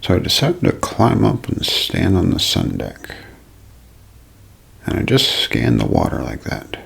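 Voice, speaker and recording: A man giving a scripted, dramatic ASMR mystery narration in a gravelly, husky voice that often sinks toward a whisper, with deliberate storyteller phrasing. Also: low voice, huge pitch swings, fairly bright tone.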